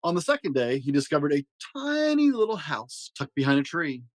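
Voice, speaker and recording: A man narrating a children's storybook aloud with expressive delivery. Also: on the high side, fairly quick, dry recording. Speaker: storyteller and host.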